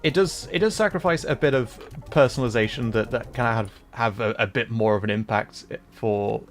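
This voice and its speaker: A man's voice: medium pitch, normal speed. A man hosting a livestream, chatting casually as a game is played, with hesitant, thoughtful, rambling phrasing. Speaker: livestream host, VTuber and audio voice performer. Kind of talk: casual livestream chat while playing a video game. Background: music behind the voice.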